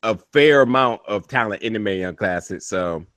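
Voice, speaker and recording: A man co-hosting a casual podcast who breaks in with quick asides. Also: lowish voice, lively intonation, a bit bright, no room sound. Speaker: podcast co-host. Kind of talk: casual wrestling chat.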